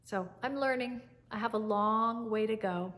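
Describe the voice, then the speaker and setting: A woman in her fifties, an English teacher, talking gently and fondly to camera in a fireside chat at home. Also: fairly high pitch. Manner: warm, soft-spoken and unhurried, with fond reflective pauses. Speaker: English teacher, channel host. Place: living room by a fireplace, indoors. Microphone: camera at chest height, seated.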